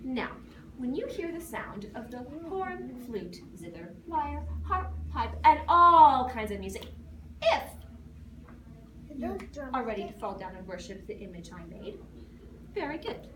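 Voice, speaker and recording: A woman's voice: a little high, sing-song, measured pace. A woman playing a proud, wrathful ancient king in a dramatic, declamatory recitation, commanding and emphatic. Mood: menacing. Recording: distant mic in noisy, echoey room.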